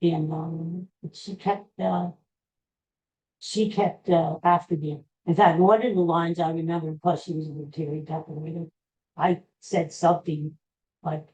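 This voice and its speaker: An older man with an American accent tells personal stories casually, in a halting, rambling, self-deprecating way. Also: a little high, little pitch movement, darkish tone. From Pennsylvania, USA. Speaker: podcast host. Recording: dull, muffled lo-fi audio.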